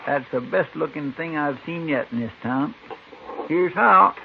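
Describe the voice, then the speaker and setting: Loyal folksy deputy, highish gravelly voice, crackly old radio recording. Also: male voice, a little slow. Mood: pleased, surprised. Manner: folksy, deferential. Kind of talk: old-time radio western acting. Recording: noisy, muffled old radio broadcast recording.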